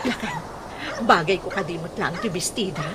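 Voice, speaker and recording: A woman's voice: lowish voice, normal speed, noisy background, close to an everyday voice. A woman playing a vain, bossy wife and mother in an Ilocano radio comedy, demanding and whiny.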